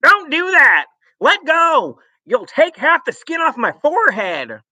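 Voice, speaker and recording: A man voicing a furious, blustering middle-aged father who yells in high, strained shouts. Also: wildly swooping pitch, unhurried, no echo, heavily acted. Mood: yelling in pain. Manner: yelling, indignant.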